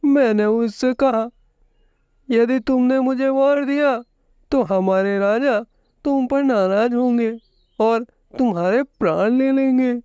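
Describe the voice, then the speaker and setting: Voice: medium pitch, takes it slowly, warm tone, clean tone, no room sound, lo-fi sound, voice pushed up, close to an everyday voice. A storyteller voicing a small clever rabbit, humble and pleading, in a children's storybook tale. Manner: pleading, deferential.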